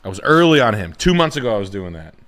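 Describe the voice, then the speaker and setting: A man's voice: lowish voice, very animated, fast talker, fairly bright tone, voice pushed down. Man doing a stammering hype rapper. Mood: hyped. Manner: stammering, hyped, rambling. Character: boastful street rapper type, goofy.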